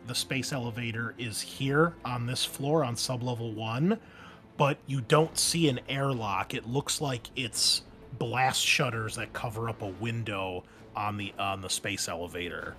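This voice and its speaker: A man narrating a tabletop roleplaying game as game master, improvising in a halting, conversational way. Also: medium pitch, a bit bright. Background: background music.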